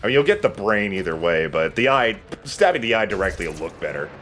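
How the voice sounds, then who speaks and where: A man's voice: lowish voice, big pitch swings, fast talker, a bit bright, faint music behind. A man giving casual commentary as he plays a video game and hosts for friends. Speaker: let's play host and player.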